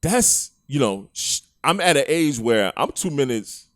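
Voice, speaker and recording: A man's voice: mid-range voice, sing-song, a little slow, no room sound, clean studio sound. A man, co-hosting a podcast in casual chat, telling stories laid-back and chuckling often. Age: 40s. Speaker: podcast co-host.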